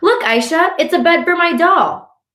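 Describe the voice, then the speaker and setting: A woman's voice: very high voice, animated, a little slow, fairly bright tone, reverberant, voice pushed up, full character voice. A woman voicing a cheeky, eager little girl with a direct childlike manner in an audiobook.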